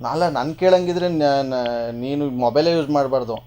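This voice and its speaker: A man's voice: mid-range voice, fairly quick, mellow, highs cut off, slight background noise. A man hosting a radio prank call, teasing and needling with mock-stern questions in phone banter. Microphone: phone call recorded in a radio show.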